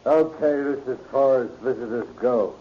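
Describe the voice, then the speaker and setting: An actor playing a gruff, bossy male prison guard in a 1940s radio drama. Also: mid-range voice, moderate pace, natural voice. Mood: curt, bossy. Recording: muffled old radio recording, faint hiss.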